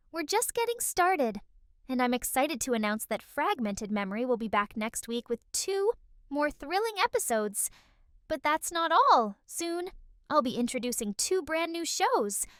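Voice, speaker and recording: A woman's voice: sits high, fairly bright tone, dry recording, studio quality. A woman reading a scripted promotional outro as the host of an audio series. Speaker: host announcing upcoming shows.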